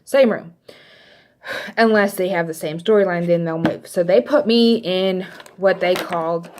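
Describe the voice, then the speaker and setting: A young woman with a light Texas accent tells a personal story straight to her viewers. Her voice sits a little low, and she talks in a loose, rambling way full of "um" and "you know" asides. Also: measured pace, a little mellow, a little hiss or hum. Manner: chatty, rambling, many fillers and asides. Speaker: vlogger. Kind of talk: casual storytelling.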